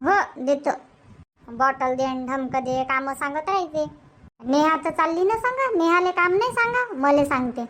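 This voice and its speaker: A woman's voice: high-pitched, normal speed, a bit bright, a little hiss or hum. A woman voicing a busy, grumbling village wife in a cartoon comedy, with colloquial Vidarbha Marathi.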